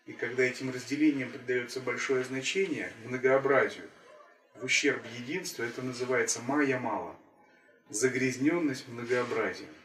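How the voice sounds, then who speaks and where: Calm male spiritual teacher, roomy and distant. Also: medium pitch. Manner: calm, measured, repetitive phrasing. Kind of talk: spiritual talk.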